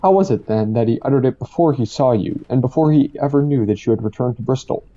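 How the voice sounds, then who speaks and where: Man as dark-toned, stern coroner. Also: mid-range voice, quick pace, natural voice. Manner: formal, pressing. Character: stern, insistent coroner questioning a witness. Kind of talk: amateur audio drama acting. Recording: dull, muffled lo-fi sound.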